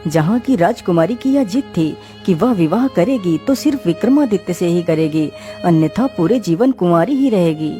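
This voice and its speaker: A woman's voice: on the low side, expressive, normal speed, few pauses. A woman reading scripted storybook narration for a cartoon. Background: clear background music.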